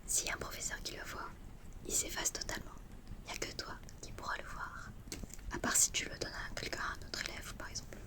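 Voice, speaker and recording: A woman's voice: a little fast, close to an everyday voice. A woman playing a chatty, mischievous teenage witch student in a whispered ASMR roleplay, talking in a casual, confiding way. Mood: secretive, friendly. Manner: hushed, casual, slangy, confiding.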